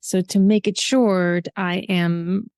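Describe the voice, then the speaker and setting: A woman from Algeria telling her life story as a podcast guest, animated and laughing often. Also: lowish voice, slow, smooth, dry recording, clean studio sound. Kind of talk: casual interview chat.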